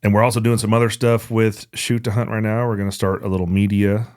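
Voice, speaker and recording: A man's voice: lowish voice, little pitch movement, quick pace, darkish tone, no room sound, clean studio sound. A man hosting a podcast with casual chat and announcements, blunt and joking, with plenty of swearing. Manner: blunt, profane, joking banter. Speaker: podcast host.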